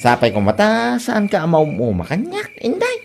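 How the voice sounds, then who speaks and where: Woman as lovestruck bumbling male suitor, Ilocano accent, boastful, wildly swinging pitch, faint noise, comedy audio drama. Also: wildly swooping pitch, creaky, darkish tone. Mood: boastful, pleading. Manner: exclamatory, halting, bumbling.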